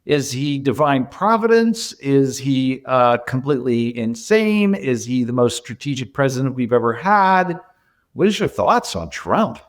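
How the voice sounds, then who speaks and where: A man's voice: mid-range voice, very animated, unhurried. A man hosting a podcast, asking long probing questions with hesitations and restarts. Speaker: podcast host. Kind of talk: interviewer questions.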